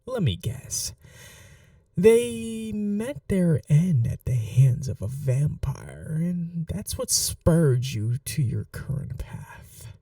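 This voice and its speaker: Man playing a slow, dark-voiced sadistic vampire lord. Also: fairly high pitch, very animated, no echo, clean studio sound, far from the mic, full character voice. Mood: taunting, amused. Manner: theatrical, taunting, courtly, drawn-out phrasing. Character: sadistic, possessive male vampire lord, silkily menacing. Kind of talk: dominant ASMR roleplay acting.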